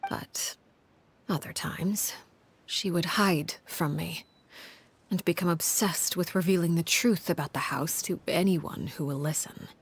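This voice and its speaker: An actress playing a cold, commanding woman who rules an orphan household, speaking in a low, husky, hushed and measured way in a video game. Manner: calm, measured, menacing.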